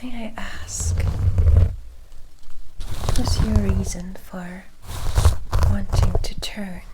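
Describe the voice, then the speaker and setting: Slow whispering vampire woman, acted by a woman. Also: medium pitch, natural voice, poor audio. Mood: gentle, reassuring. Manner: soft, soothing, formal and unhurried. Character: gentle, formal, caring vampire woman attendant. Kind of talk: whispered ASMR roleplay.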